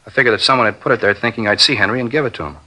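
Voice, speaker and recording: A defensive studio man in an old radio cop show, quick bright voice, earnest. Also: on the low side, poor audio. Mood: anxious explaining. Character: defensive male film studio worker under police questioning. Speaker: actor in a police radio drama. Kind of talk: 1950s radio acting, naturalistic dialogue. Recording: 1950s radio drama recording.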